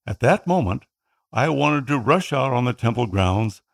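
Man, Tagalog speaker, slightly gravelly, earnest narrator for a scripted personal story. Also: medium pitch, animated. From Philippines. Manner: earnest, measured reading.

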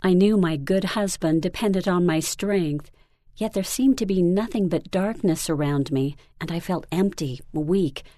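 A woman reading a first-person story aloud in a calm, earnest manner, recorded close to the microphone. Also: on the low side, measured pace, dry recording. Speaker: reader.

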